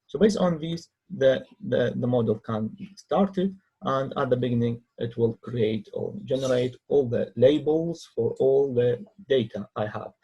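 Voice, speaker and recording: A man who speaks English as a second language narrates a software tutorial as a researcher, in a halting way with hesitant pauses. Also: medium pitch, husky, muffled recording. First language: not English. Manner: halting, non-native phrasing with hesitant pauses. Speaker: researcher demonstrating own software. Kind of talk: tutorial screen-recording narration.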